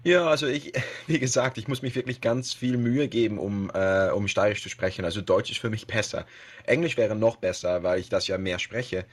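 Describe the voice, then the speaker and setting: A man's voice: lowish voice, normal speed, slightly rough. A man from the Netherlands with a Dutch accent, speaking German as a second language in a casual podcast interview, hesitant with many fillers and restarts. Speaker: podcast guest, web radio host.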